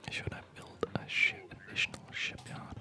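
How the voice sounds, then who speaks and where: A person whispering ASMR gameplay commentary, hesitantly thinking aloud as the host of a gaming video. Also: moderate pace.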